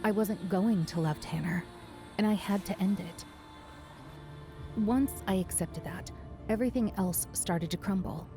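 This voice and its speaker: A woman's voice: medium pitch, measured pace, pauses often, over music, lightly acted. A woman playing a weary, self-conscious but defiant young woman in a first-person audio drama. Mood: wounded, confessional.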